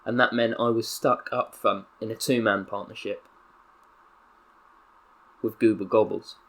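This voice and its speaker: A man's voice: on the low side, a little slow. Young Southern English man, mock-serious. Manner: mock-solemn with bursts of excitable commentary. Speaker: gaming YouTuber. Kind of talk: role-playing a footballer's press statement.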